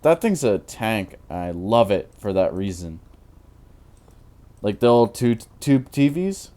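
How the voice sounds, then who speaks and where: A tired man in his twenties with a naturally deep voice, rambling through casual commentary while he builds in a game, full of fillers and restarts. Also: sing-song, takes it slowly, warm tone. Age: 20s. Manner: rambling, hesitant, many fillers. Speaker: Minecraft YouTuber.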